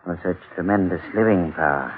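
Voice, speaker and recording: An actor playing a dry, level-headed professor with a wry manner in a 1940s radio drama. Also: male voice, low-pitched, brisk, close to an everyday voice. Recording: tinny, band-limited 1940s radio recording.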